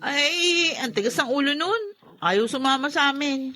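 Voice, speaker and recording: A woman's voice: sits high, moderate pace, pushed and bright. A woman with a Filipino accent playing a frightened, exasperated younger sister in a radio drama.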